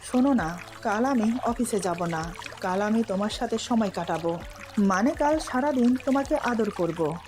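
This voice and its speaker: A woman's voice: medium pitch, expressive, moderate pace, a little mellow, slight background noise, close to an everyday voice. Woman reading as a devoted, teasing young husband. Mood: tender.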